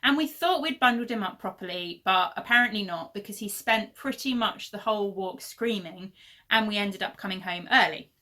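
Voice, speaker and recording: A woman's voice: mid-range voice, normal speed, pushed and bright. A woman in her thirties, hosting a casual knitting podcast from home, chatty and rambling with lots of fillers, her voice a little worn. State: losing her voice. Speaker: podcast host. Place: at home, indoors.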